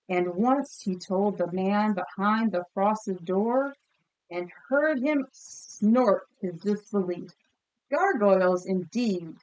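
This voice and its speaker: A woman teacher reading a picture book aloud expressively and pausing to ask listeners questions. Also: mid-range voice, poor audio. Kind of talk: storybook read-aloud with comprehension questions.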